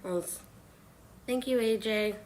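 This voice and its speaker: A hoarse woman chatting softly on a live stream while she knits, with many pauses and fillers. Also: a little high, a little hiss or hum. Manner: halting, many ums and uhs. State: hoarse from illness. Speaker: knitting livestreamer. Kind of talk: soft-spoken live stream chat.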